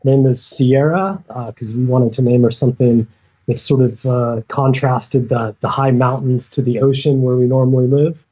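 An easygoing man with a warm, mellow voice and a slightly husky edge, talking as a guest. He comes through a dull, lo-fi remote call and speaks loosely with small hesitations. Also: medium pitch, normal speed. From United States. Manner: relaxed, easygoing, some hesitations. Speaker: guest, sailor. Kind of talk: casual interview chat. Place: at home.

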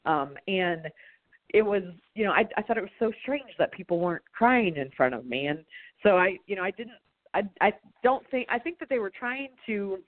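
A woman's voice: mid-range voice, very animated, normal speed, no room sound. A woman interview guest recounting her own experience in the first person, often repeating phrases as she searches for words. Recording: tinny narrow phone-like sound.

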